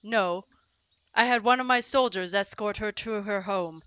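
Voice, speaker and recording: A woman's voice: mid-range voice, a little slow, a bit bright, clear, resonant tone, no room sound, natural voice. A woman playing a bold, spirited warrior sister in a fantasy audio drama, with formal diction. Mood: caring. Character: bold, battle-loving warrior sister, protective and hearty.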